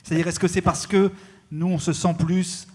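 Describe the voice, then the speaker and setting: A man moderating a panel, measured and deliberate when posing questions. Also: high-pitched, level tone, darkish tone.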